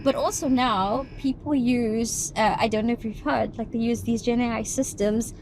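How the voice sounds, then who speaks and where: A middle-aged woman from South Africa, speaking with a voice pitched a little high. She talks as an expert guest, weighing her points and slowing down to stress them. Her lines are set against clear background noise. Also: steady tone, a little mellow, poor audio. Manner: thoughtful, laughs often, slows to stress points. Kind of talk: casual interview talk.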